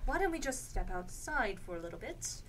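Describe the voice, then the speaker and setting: A woman playing a sceptical, exasperated housewife with incredulous, deliberate questions in a radio comedy. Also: fairly high pitch, very animated, a bit bright, slight background noise. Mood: patient, humoring. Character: sceptical, exasperated housewife humoring her odd neighbor. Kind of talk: stage radio comedy acting.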